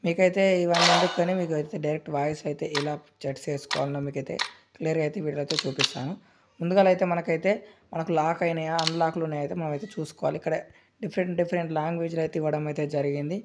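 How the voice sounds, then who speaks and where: A man runs through a game tutorial at top speed, full of repetitive fillers. His voice sits at a middle pitch. The recording carries clear background noise. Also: steady tone. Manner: rapid, repetitive filler-heavy explaining. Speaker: gaming channel host.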